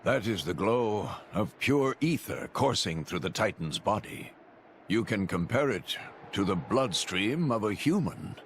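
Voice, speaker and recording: Courtly, low-voiced devoted retainer. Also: male voice, expressive, slight background noise. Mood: wise, composed. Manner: formal, deferential, measured wording. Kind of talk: video game voice acting. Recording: prominent music behind the voice.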